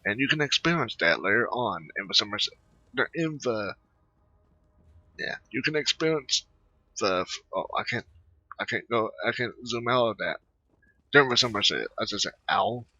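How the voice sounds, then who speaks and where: A man giving casual game commentary and reading dialogue aloud in a halting, rambling way. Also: lowish voice, animated, sharp tone, husky, no room sound, dull audio. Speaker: gaming video host.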